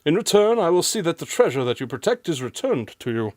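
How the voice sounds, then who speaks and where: A man's voice: fairly high pitch, big pitch swings, fast, darkish tone, no room sound. A man playing a courteous, self-assured detective with dry wit and formal, gallant phrasing in a radio drama. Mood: composed, courteous.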